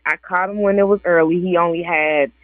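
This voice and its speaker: A woman's voice: fairly low pitch, fairly even intonation, brisk, no room sound. A woman in her forties, an author and guest on a casual radio interview, chatty and laughing often as she quotes other people. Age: 40s. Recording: dry tinny phone line.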